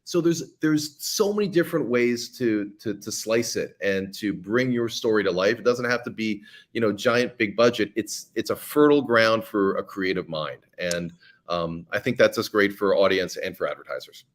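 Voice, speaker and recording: A man with a lowish voice talks as an interview guest. He explains his craft with eager enthusiasm, in an easy conversational way. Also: normal speed. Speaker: guest, fiction podcast producer.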